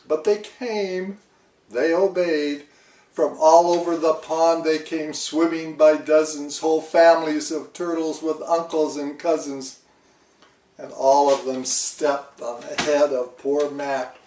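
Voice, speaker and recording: Older man reading a storybook, unhurried. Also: fairly high pitch, reverberant, far from the mic. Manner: dramatic, deliberate. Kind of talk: expressive children's storybook reading.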